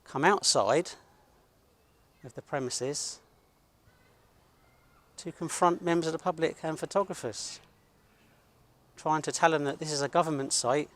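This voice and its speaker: A middle-aged man hosting a vlog monologue, speaking in blunt, grumbling, deliberate phrases. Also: a little high, fairly bright tone. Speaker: host of an online video channel.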